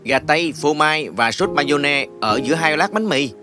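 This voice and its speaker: Man playing kind, higher-voiced patient guide. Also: lively intonation, normal speed, over music, lightly acted. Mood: friendly, curious. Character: kind, patient human man guiding a newcomer. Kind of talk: dubbed fantasy film dialogue.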